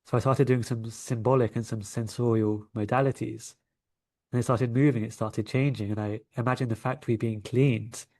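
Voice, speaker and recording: A man's voice: mid-range voice, steady tone, moderate pace, darkish tone. Man with a London accent. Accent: London English. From London, England. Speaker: interview guest, coach and energy healer. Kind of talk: conversational storytelling in an interview.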